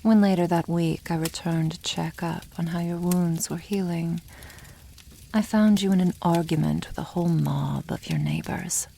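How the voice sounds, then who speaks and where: A woman voicing a protective, tender female dragon in a soft, intimate ASMR roleplay, with formal wording and a hushed, often half-whispered delivery. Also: fairly low pitch, steady tone, moderate pace, gravelly, slight background noise. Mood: gentle, earnest.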